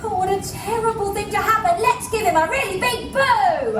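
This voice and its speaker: A woman's voice: very high voice, normal speed, heavy background noise. A woman narrating a children's puppet show in an exclamatory manner, urging listeners to join in. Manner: exclamatory, rallies listeners to chant. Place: children's theatre at a theme park. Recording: echoey theatre from far off, loud music.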